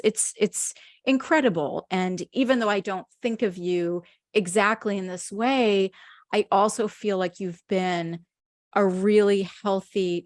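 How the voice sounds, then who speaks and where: A woman's voice: mid-range voice, no room sound, studio quality. A woman hosting a podcast, speaking earnestly and effusively, lingering on words of praise as she introduces her guest. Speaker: podcast host. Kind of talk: heartfelt interview introduction.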